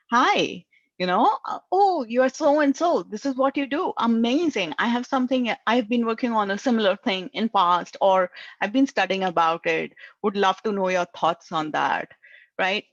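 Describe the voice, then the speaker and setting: A woman's voice: mid-range voice, no room sound, lo-fi sound. Indian-accented woman giving career advice. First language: Indian language. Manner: earnest, tags lines with "right?". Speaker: career coach. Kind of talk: advice talk.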